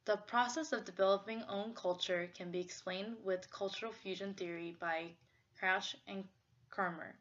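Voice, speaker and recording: A woman's voice: mid-range voice, fairly even intonation, moderate pace, a bit bright. A young woman from Korea, with Korean as her first language, reading a prepared personal essay in an earnest, reflective way. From South Korea. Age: young adult. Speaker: student presenter. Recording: dull lo-fi recording.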